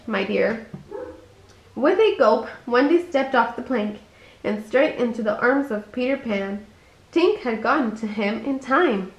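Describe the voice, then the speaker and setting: A woman reading a children's bedtime story aloud with expression. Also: on the high side. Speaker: storybook reader. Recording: echoey room.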